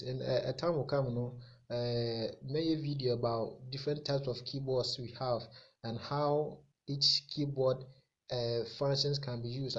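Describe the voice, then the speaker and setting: A man from Ghana with a Ghanaian accent and Akan as his native language, giving an informal, halting, repetitive step-by-step tech tutorial that mixes two languages. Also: medium pitch, muffled recording.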